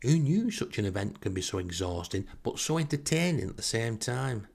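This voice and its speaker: A man's voice: medium pitch, very animated, normal speed, creaky, darkish tone, slightly rough. A man telling a first-person story in a casual, sardonic, self-deprecating way. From northern England. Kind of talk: wry first-person anecdote, casual narration.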